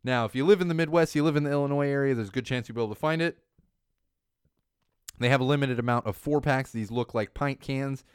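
Chatty male podcast host, slightly hoarse. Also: medium pitch, quick pace, dry recording, clean studio sound. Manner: chatty, rambling, self-correcting. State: losing his voice. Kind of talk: casual news podcast monologue. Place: studio.

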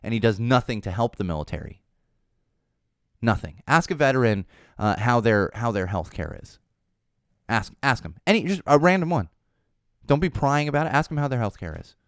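A man's voice: medium pitch, sing-song, moderate pace, long pauses, no room sound, clean studio sound. A young man hosting a weekly podcast, ranting bluntly and animatedly through news and entertainment, his voice a little worn. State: losing his voice. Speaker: podcast host. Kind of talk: casual opinionated commentary.

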